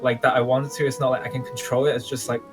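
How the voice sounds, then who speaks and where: A man playing an unsure young time-traveling mage in a game roleplay, talking in a halting, rambling way. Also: mid-range voice, flat delivery, loud music behind the voice, lightly acted. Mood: hesitant, unsure. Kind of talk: improvised video game roleplay.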